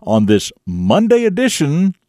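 A man presenting a scripted morning show for young listeners, with emphatic, deliberate phrasing and folksy asides. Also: fairly high pitch, wildly swooping pitch. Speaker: radio show and podcast host.

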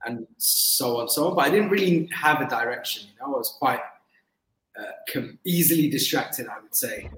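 A man's voice: mid-range voice, animated, normal speed, a bit bright, a little hiss or hum. Reflective man from London, echoey room. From London, England. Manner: reflective, hesitant with many fillers. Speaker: interview guest, business owner. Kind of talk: casual interview conversation.

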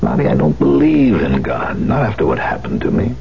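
An actor in a 1970s radio drama playing a bitter, volatile concert pianist, brooding and emphatic in a theatrical way. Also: male voice, medium pitch, wildly swooping pitch, a little fast, vocal fry, exaggerated voice. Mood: despairing. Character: bitter, volatile concert pianist who lost his hand. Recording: muffled, noisy 1970s radio recording.

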